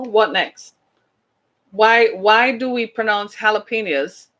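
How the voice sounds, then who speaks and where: A woman's voice: on the high side, a bit bright. A woman from the British Caribbean with a Caribbean accent, hosting a podcast in a chatty, emphatic monologue full of rhetorical questions. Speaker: podcast host.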